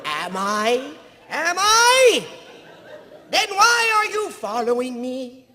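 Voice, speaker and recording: A man's voice: very high voice, wildly swooping pitch, slow, heavily acted. A man voicing a manic, screechy cartoon alien in a theatrical, demanding way at a live comedy table read in an echoey hall. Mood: taunting. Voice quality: piercing, screechy. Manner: theatrical, demanding, erupts into shouts. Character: manic shrill cartoon alien in Princess Leia's role. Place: stage at a comic convention. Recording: echoey convention hall with audience.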